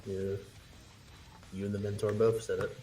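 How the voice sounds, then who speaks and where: Low-voiced man playing a tabletop game. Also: level tone, normal speed, mellow, low-quality recording. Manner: informal, sweary. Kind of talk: casual game chat. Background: guitar music.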